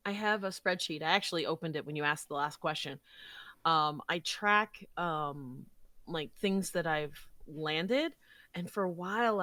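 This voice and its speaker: Woman, lowish voice, dry sound without room echo, podcast guest, casual, conversational, encouraging. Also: pushed and bright. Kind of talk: casual interview conversation, recounting experiences.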